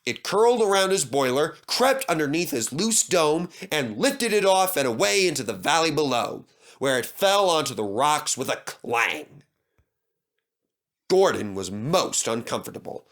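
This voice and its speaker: A man narrates a children's story from a script. His pitch runs a little high and moves widely, as befits a storyteller. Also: big pitch swings, a little slow, a bit bright.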